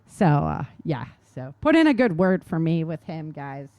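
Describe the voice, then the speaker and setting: A woman's voice: low voice, very animated, normal speed, a little mellow, no room sound. Casual, enthusiastic woman podcaster, close dry sound. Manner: enthusiastic, informal. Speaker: podcast co-host and novelist. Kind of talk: casual chat.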